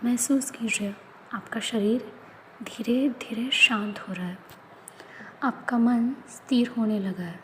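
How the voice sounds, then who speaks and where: Woman, meditation guide, slightly high voice, slow whispery delivery, faint background hiss. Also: poor audio. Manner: slow, soft, often whispered instructions. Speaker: yoga nidra guide.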